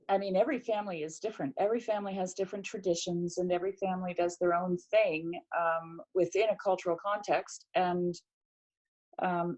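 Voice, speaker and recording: A middle-aged woman talks things through in a relaxed, casual way, pausing often and restarting her sentences. Her voice is slightly low and mellow. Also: low-quality recording. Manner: reflective, hesitant, many fillers and restarts. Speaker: podcast guest. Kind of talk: casual conversation.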